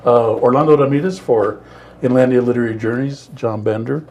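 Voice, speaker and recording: A man's voice: mid-range voice, fairly quick, darkish tone, lo-fi sound. A man hosting a casual book interview segment. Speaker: host.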